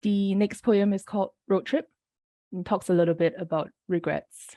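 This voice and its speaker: A woman from Singapore reading her poems aloud and briefly introducing each one, sounding a little rough from the early hour. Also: medium pitch, steady tone, warm tone, dry recording, dull audio. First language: Mandarin. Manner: measured, unhurried reading with brief spoken introductions. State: slightly off-sounding early-morning voice. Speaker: poet reading her work. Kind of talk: poetry reading.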